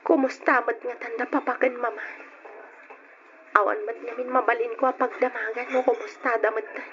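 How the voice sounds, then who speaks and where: An actress playing a young, playful bar waitress turned devoted wife in an old radio drama. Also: female voice, fairly high pitch, fairly even intonation, normal speed, close to an everyday voice. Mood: subdued. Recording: noisy, dull old radio drama recording.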